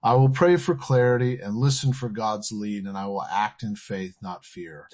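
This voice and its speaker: A man's voice: fairly low pitch, normal speed, a little mellow. A man hosting a podcast, giving scripted motivational teaching in short, emphatic, earnest phrases. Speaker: podcast host.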